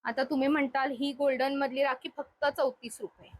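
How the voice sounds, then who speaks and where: A woman with a high voice hosts a shopping vlog. She presents products in an unscripted, conversational style, talking to viewers and to the seller. The sound is dry, with little room around the voice. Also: fairly even intonation, brisk, no echo.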